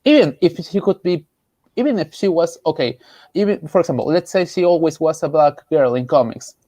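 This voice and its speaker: A man from Peru with a Peruvian Spanish accent, giving casual opinions as a panelist in an emphatic, halting way, often repeating phrases. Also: fairly high pitch, lively intonation, mellow, no echo. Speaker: panelist, artist.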